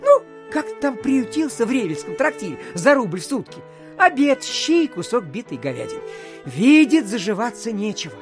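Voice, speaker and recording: A garrulous small-town postmaster in an audio drama. He tells his tale pompously, padding it with filler phrases and dramatic pauses. His pitch swings widely, and music plays softly behind him. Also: wildly swooping pitch, full character voice. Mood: marvelling, excited, then confiding narration. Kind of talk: theatrical storytelling in an audio play.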